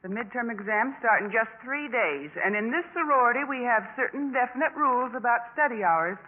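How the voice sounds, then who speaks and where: An actress playing a bossy, snobbish young sorority leader in a 1950s radio drama, brisk and officious. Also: female voice, on the high side, lightly acted. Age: young adult. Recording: tinny, noisy 1950s radio recording.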